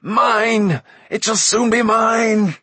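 A greedy, cowering steward, crying out with excitement. The voice is tight and shrill, stretching words eagerly like a storybook henchman. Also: very slow, breathy edge, no echo, full character voice. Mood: greedy frenzy. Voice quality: tight, high-pitched. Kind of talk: audiobook character voice.

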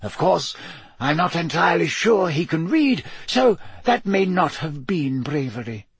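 A gently stern old headmaster with a dry, ironic wit, as a man reads him. The voice sits well above the reader's own, lilting and husky. It is recorded close and dry. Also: a little high, big pitch swings, unhurried, no echo, pitched above the performer's own voice, heavily acted. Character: elderly male headmaster, wry and gently stern.

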